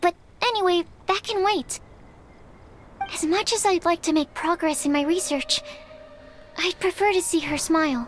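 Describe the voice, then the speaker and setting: An actress playing an earnest, friendly and polite young woman in an animated game. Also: very high-pitched, normal speed, pauses often, faint music behind. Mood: earnest, caring.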